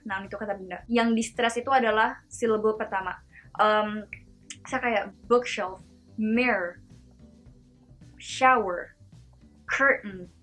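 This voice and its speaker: A woman from Indonesia hosting a casual vlog-style lesson, chatty and slowing down to demonstrate words one at a time. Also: a little high, a bit bright. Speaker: video host teaching pronunciation.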